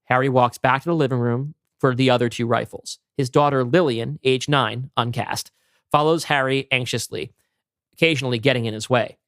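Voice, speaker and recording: A man's voice: mid-range voice, steady tone, normal speed, fairly bright tone, dry recording, studio quality. A man co-hosting a podcast, talking casually and narrating a script he wrote. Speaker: podcast co-host and writer.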